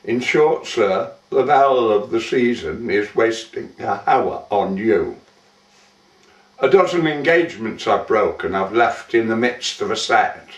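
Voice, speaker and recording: Man reciting as a wistful young heiress, slow, echoey. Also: on the low side, expressive. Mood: proud, playful. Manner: theatrical, measured, with lingering pauses. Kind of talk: dramatic poetry recitation. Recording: roomy echo, distant microphone.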